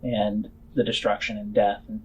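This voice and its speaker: A man's voice: on the low side, little pitch movement, normal speed, a little mellow, dull audio, a little hiss or hum. A man narrating a tabletop game as game master, improvising and searching for his words.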